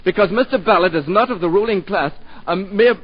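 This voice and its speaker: A man's voice: sits high, fairly quick, breathy edge. An actor playing an indignant young man just back from university, in a 1940s radio drama, sarcastic and theatrical. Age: young adult. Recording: dull lo-fi 1940s radio broadcast.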